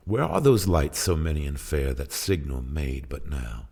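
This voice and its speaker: A man's voice: very deep voice, sing-song, normal speed, gravelly, a little mellow, slightly rough, exaggerated voice. A man voicing a pious old hermit in a verse tale, theatrical and emphatic. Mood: puzzled. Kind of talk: dramatic verse storytelling. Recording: music played behind the voice.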